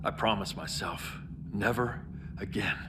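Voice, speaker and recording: A man's voice: sits low, measured pace, background noise. A man playing a hardened, grieving and domineering superhero in a video game. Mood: pleading. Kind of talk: video game cinematic acting. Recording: game audio under loud score.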